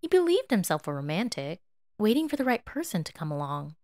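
A woman narrating a piece of fiction as an expressive, scripted audio story reading. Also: mid-range voice, brisk, no echo, clean studio sound.

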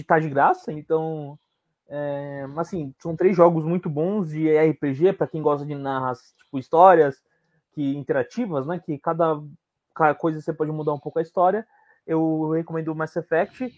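A Brazilian man with a slightly high voice chats on a podcast. His delivery is informal and a bit halting, with phrases often begun again. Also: steady tone, darkish tone. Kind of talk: casual recommendation chat.

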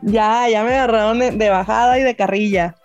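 A woman's voice: on the high side, fairly even intonation, a little fast, lo-fi sound, faint music behind. A woman chatting playfully with the others in a casual conversation. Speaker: podcast guest. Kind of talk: casual chat.

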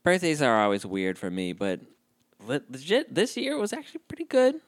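A man's voice: on the high side, brisk, no echo, studio quality. A man in his thirties co-hosting a casual podcast chat, playful and joking. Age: 30s. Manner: playful, jokey, animated. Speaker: podcast co-host.

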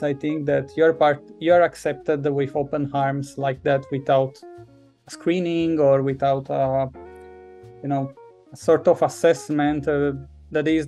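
A man's voice: fairly high pitch, warm tone. A man from Italy with an Italian accent, talking reflectively as a podcast guest. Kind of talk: reflective interview talk.

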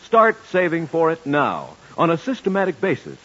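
A man's voice: on the high side, wildly swooping pitch, normal speed, sharp tone. An American man announcing in scripted 1940s radio style, with a polished, salesmanlike delivery. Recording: muffled 1940s radio broadcast with music.

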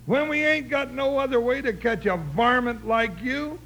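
A man's voice: very high-pitched, moderate pace, bright, forceful tone, full character voice. A man playing a jolly, boisterous cowboy sidekick in an old radio western, with a folksy American Western drawl. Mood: amused. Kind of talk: 1950s radio western acting. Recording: noisy old radio transcription.

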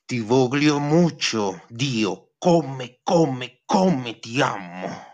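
Man playing an ardent Italian suitor, slow and drawn-out. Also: medium pitch, expressive, a little mellow. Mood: ardent, wooing. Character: amorous Italian immigrant suitor, ardent and sneaky. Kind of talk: humorous radio soap opera acting.

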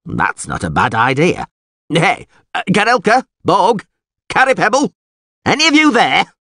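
A man's voice: fairly low pitch, wildly swooping pitch, normal speed, a bit bright, slightly rough, dry recording, clean studio sound, exaggerated voice. A man voicing a podgy, hot-tempered, blustering small-town alderman in an audiobook, full of exclamations and hesitations. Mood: blustering, chatty. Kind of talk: audiobook character acting.

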